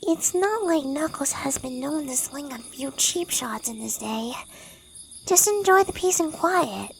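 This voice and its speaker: A performer playing a mild, easygoing young fox boy in a dramatised audiobook, soft and reassuring. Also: sits high, expressive, a little slow, creaky, slight background noise, full character voice. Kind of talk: dramatised audiobook character acting.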